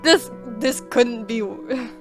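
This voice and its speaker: A woman giving expressive, dramatic live commentary as she reacts to a game's story. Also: on the high side, slow pace, music in the background. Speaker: game streamer reacting to a story quest. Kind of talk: excitable live gameplay commentary.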